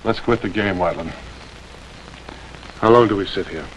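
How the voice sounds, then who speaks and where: Low-voiced, laconic American frontier scout, acted by a man. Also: normal speed, highs cut off, natural voice. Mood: challenging. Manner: dry, blunt, folksy. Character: laconic, skeptical male frontier army scout. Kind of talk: mid-century American TV western acting. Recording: noisy old TV soundtrack with rain.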